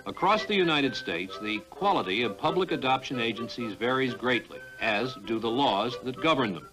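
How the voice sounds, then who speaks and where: A man giving scripted documentary narration in a grave, formal, measured way. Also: medium pitch, lightly acted, poor audio. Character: solemn male narrator of an adoption documentary. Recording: music behind the narration. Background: music.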